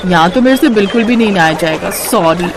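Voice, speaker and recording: A woman's voice: medium pitch, animated, fairly quick, background noise, close to an everyday voice. A woman voicing a haughty, boastful rich married woman in a moral cartoon story, with a mocking, showy manner. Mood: disdainful.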